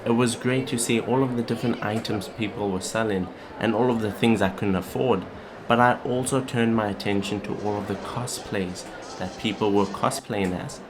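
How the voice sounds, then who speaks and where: A man's voice: fairly low pitch, fairly even intonation, normal speed, noisy background. A young man narrating a scripted vlog. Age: young adult. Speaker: vlogger.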